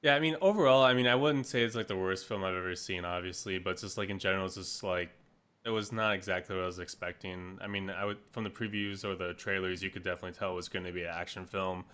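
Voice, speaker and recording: A man's voice: low-pitched, quick pace, bright, forceful tone, clean tone. A man co-hosting a podcast in casual chat, rambling with frequent hesitations. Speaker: podcast co-host.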